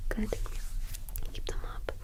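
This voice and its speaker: Slow whispering ASMR woman. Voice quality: soft breathy whisper. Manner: soothing, reassuring, repetitive. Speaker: ASMR host playing a witch energy healer. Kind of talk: ASMR roleplay.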